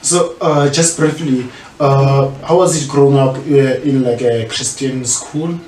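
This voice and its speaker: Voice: medium pitch, steady tone, a little slow, a little mellow, recorded from a distance. The kind of South African host you would hear on a small homemade studio chat show, informal and rambling, a little away from the mic in a roomy space. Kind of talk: casual chat interview, mixing English with local language. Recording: roomy echo, off-mic, faint noise.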